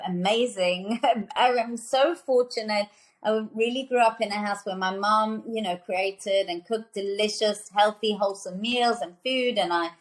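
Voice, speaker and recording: A woman in her thirties from South Africa, a nutrition author chatting as a podcast guest, enthusiastic and full of fillers and restarts. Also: mid-range voice. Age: 30s. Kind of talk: casual interview conversation.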